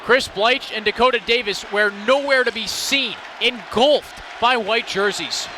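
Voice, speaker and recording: A man's voice: very high-pitched, unhurried, crowd noise, noisy background. A young man giving live radio football analysis, explaining and recapping plays in descriptive phrases. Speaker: color analyst.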